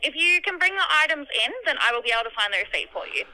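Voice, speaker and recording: A woman working in customer service, heard down a tinny telephone line. Her voice is very bright and sharp and she talks fast, staying polite, apologetic and firm. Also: high voice, big pitch swings, soft background music. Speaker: shop customer service worker. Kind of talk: polite, scripted-sounding customer service on a phone call.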